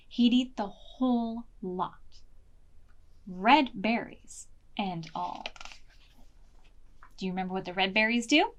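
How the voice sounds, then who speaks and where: A woman reading a picture book aloud to preschoolers in an expressive, deliberate way, as a storytime librarian. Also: medium pitch. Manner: slow, deliberate, expressive, pausing to ask questions.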